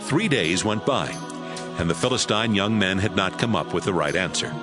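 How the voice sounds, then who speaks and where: A man's voice: deep voice, expressive, moderate pace, over music. A man giving dramatic scripted narration for a Bible audio drama, as storyteller.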